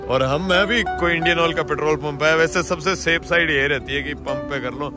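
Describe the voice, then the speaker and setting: A man's voice: a little high, a little fast, over music. A man in his thirties vlogging casually outdoors, chatting straight to viewers while holding the camera at arm's length. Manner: chatty, addresses viewers directly. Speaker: travel vlogger. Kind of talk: casual vlog narration. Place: outdoors by a car camp and roadside. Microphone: handheld camera at arm's length.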